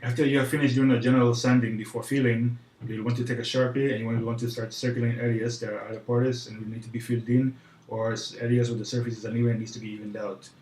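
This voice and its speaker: A man putting on a French accent as he presents an informal how-to tutorial in a hesitant, halting way. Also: mid-range voice, little pitch movement, a little mellow. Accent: put-on French. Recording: roomy echo, faint background noise.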